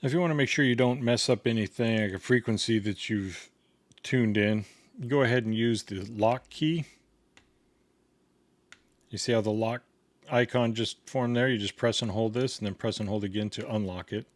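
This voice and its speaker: A man's voice: fairly low pitch, normal speed, no echo. A man giving a casual hands-on product tutorial in a plain, step-by-step instructional way. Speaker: product reviewer demonstrating a gadget.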